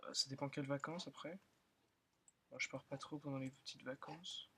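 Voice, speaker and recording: Slightly hoarse young man over keyboard clicks. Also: mid-range voice, steady tone, moderate pace, very noisy. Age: young, still in school. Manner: rambling, thinking aloud with many fillers. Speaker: gaming channel host. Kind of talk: casual gameplay commentary. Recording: loud keyboard and mouse clicking.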